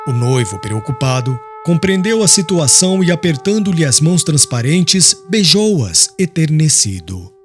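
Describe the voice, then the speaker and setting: A man narrating a literary audiobook in a solemn, dramatic and expressive style. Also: a little high, measured pace, few pauses. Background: music with woodwind.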